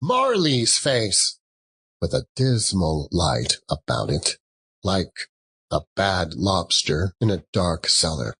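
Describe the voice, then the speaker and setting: A man narrating a classic story as an audiobook, theatrical, with dramatic and deliberate phrasing. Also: low-pitched, wildly swooping pitch, bright, forceful tone, husky.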